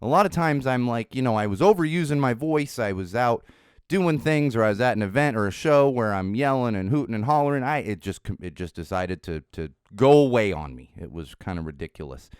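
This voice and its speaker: A middle-aged man hosting a solo podcast, his voice slightly raspy while it recovers, chatting in a rambling way with lots of uhs. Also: mid-range voice, expressive, brisk, no echo, clean studio sound. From Southern California. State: recovering from lost voice. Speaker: podcast host.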